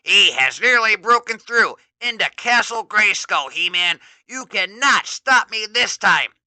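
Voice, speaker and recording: A man's voice: high voice, animated, normal speed, sharp tone, no room sound, voice pushed up, exaggerated voice, rough recording. A man voicing a cruel, gloating male villain who cackles and barks orders. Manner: cackling, scornful barking orders. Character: evil scheming male overlord, cruel and gloating.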